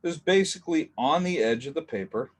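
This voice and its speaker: A man teaching a drawing class in a relaxed, chatty way, talking through each step and adding jokey asides. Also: a little high, fairly quick, a little hiss or hum. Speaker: art teacher. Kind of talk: casual drawing lesson.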